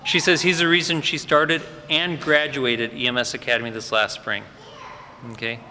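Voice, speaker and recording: Guy presenting cadets at a ceremony, lots of uhs, bright forceful voice, echoey hall w faint background noise. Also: mid-range voice, flat delivery, normal speed. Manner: warm off-the-cuff remarks with frequent fillers. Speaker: fire department EMS supervisor presenting cadets. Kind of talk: ceremony speech introducing graduates. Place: large indoor hall at a ceremony.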